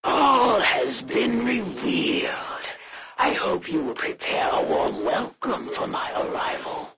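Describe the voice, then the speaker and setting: Slow, haughty, gravelly alien assassin. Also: female voice, on the high side, huge pitch swings, a loud crowd, heavily acted. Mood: menacing. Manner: formal, haughty, deliberate, threatening. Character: proud alien assassin warrior, female, menacing, honor-bound, disdainful. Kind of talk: scripted video game villain voice acting.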